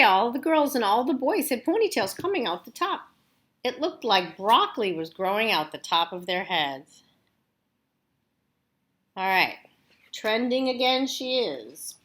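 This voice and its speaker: A woman reads a children's storybook aloud as a teacher would. Her pitch is lively and varied, and she breaks off for casual asides to the kids. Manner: expressive, addresses young listeners directly.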